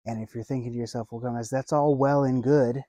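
A man in his thirties preaching a sermon outdoors by a lake, earnest and measured, with deliberate pauses. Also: mid-range voice, steady tone, dark tone, dry recording, studio quality. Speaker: pastor. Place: outdoors by a lake, seated on a bench.

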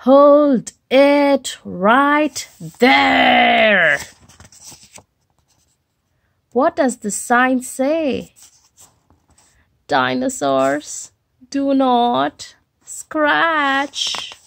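A woman voicing a bossy, tough little storybook dinosaur with emphatic, drawn-out commands. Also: high voice, vocal fry, a bit bright, exaggerated voice. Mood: stern, insistent.

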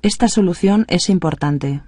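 A voice reading short phrases as prompts in an even, neutral drill delivery. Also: medium pitch, measured pace, husky, dry recording, studio quality. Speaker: Spanish phrase reader. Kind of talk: read-aloud language drill.

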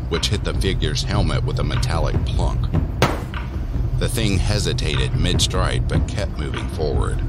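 A man's voice: extremely low pitch, heavy background noise. A man narrating a scripted horror story as the storyteller of an audio drama. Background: music under the voice.

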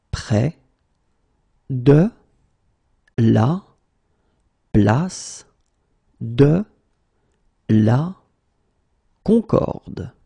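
A man's voice: mid-range voice, vocal fry, dark tone, slightly rough, dry recording. A male native French teacher drilling phrases one at a time, repeating each and then breaking it into single words. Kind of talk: slow phrase-by-phrase language drill.

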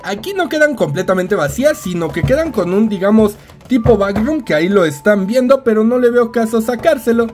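Mexican man, high voice, frank toy reviewer, light music underneath. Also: animated, brisk, few pauses, darkish tone. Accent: Mexican Spanish. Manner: chatty, candid and opinionated. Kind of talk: casual unboxing review.

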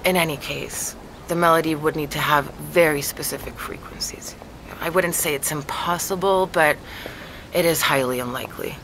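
A woman playing a measured, matter-of-fact neurologist who explains things in a naturalistic audio drama. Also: deep voice, a bit bright, a little hiss or hum, rough recording. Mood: skeptical.

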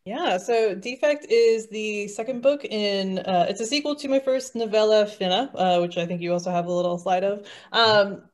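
A woman's voice: mid-range voice, normal speed, hardly any pauses. A woman chatting casually on a video call during a tabletop game, hesitant and thinking aloud as she goes. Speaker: player and guest author. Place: indoors, on a group video call.

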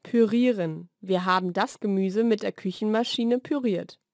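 Female language-lesson narrator, mid-pitched, measured and deliberate diction, dry studio sound. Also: a little mellow, no room sound. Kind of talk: scripted vocabulary narration, single words then example sentences. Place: dry studio voice-over recording.

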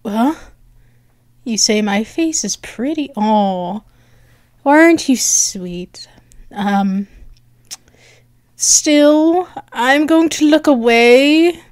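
A woman performing an intimate ASMR roleplay, bashful, halting and softly affectionate, close to the microphone. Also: a little high, no room sound.

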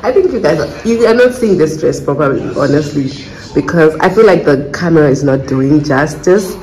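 A woman's voice: sits low, expressive, moderate pace, darkish tone, reverberant, low-quality recording. A young woman chatting to camera in a casual travel vlog. She rambles and fills her sentences with "like". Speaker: travel vlogger.

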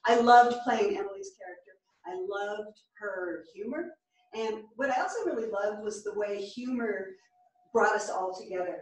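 A woman's voice: medium pitch, normal speed. Very echoey woman on a stage. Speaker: stage actress. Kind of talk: informal post-performance reflection. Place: small theatre stage, distant camera. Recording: very echoey theatre, far from mic.

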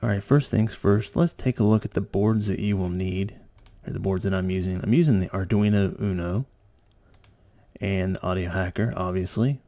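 A man's voice: sits low, moderate pace, dark tone. A man giving a casual, explanatory electronics tutorial narration in an informal way. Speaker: host of an electronics tutorial channel.

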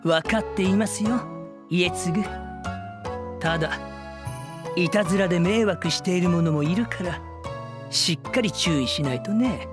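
A calm young lord in a cartoon. He is courteous and composed, gently in command, with music playing behind. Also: sits high, measured pace, pauses often. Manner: soft-spoken, courteous, composed.

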